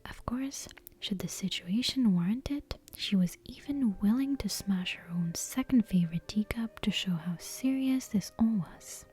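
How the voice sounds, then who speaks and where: Female ASMR storyteller, very breathy whisper with a soft mellow tone, gentle scripted bedtime narration. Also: medium pitch, measured pace, few pauses, soft background music. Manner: gentle, careful, hushed read-aloud delivery.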